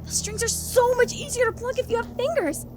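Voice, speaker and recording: A woman voicing an excitable young female pony obsessed with humans, rambling eagerly in an audio drama. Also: extremely high pitch, a little fast, loud music behind the voice. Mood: absorbed, earnest. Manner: eager, insistent, rambling enthusiasm. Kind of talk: animated fan audio drama acting.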